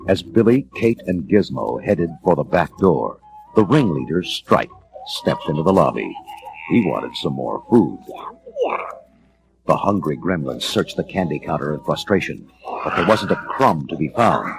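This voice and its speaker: A man reads a dramatic children's story in a very deep voice. His delivery is theatrical and measured but swings widely in pitch. Music plays behind him. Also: very animated, creaky, poor audio. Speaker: narrator.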